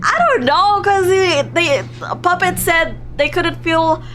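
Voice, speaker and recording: A woman livestreaming a visual novel, reading story text aloud and commenting on it in an animated, reactive way. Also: very high voice, takes it slowly, a little hiss or hum. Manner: animated, reactive, giggly, drops into hushed narration. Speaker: streamer playing a visual novel live.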